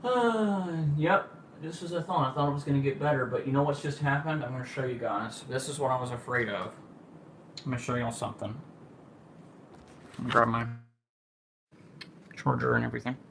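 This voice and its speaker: A man's voice: medium pitch, moderate pace, creaky, long pauses, fairly bright tone. An American man giving casual, informal commentary as he hosts a weather livestream from a room at home. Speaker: livestream host, amateur storm watcher. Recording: noisy amateur livestream audio.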